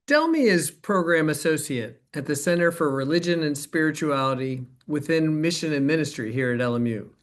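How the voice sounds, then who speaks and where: A man playing a supportive university center director, explaining things haltingly in a conversational staged interview. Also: on the high side, animated, close to an everyday voice. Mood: explanatory. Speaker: performer portraying a center director. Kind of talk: dramatized interview narrative, conversational.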